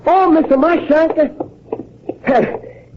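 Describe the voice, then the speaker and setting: An actor playing a naive, eager, warmhearted Italian immigrant in a radio comedy, speaking earnest broken English with an Italian accent. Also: male voice, extremely high pitch, takes it slowly. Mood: eager, anxious. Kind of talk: old-time radio comedy acting. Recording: dull, noisy vintage radio recording.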